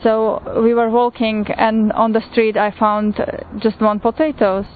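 A woman's voice: on the high side, level tone. Slovak-accented woman on a tinny line. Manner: unhurried, with frequent pauses and fillers. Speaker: pilgrim sharing testimony. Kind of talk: personal testimony. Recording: tinny phone-like recording with faint noise.

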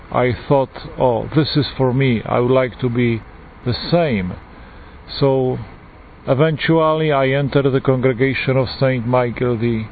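A man in his forties with a Polish accent, a priest giving an earnest, unhurried personal testimony with frequent pauses. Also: medium pitch. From Poland. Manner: earnest, unhurried, pausing often. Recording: tinny phone-like line with faint hiss.

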